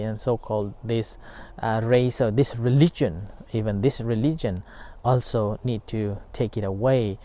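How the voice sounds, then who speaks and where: A middle-aged man speaks as a meditation teacher in a warm, mellow voice. His comes slowly, full of pauses and restarts, as he reflects aloud. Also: on the low side. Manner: halting, many fillers and restarts. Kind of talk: unscripted dharma talk.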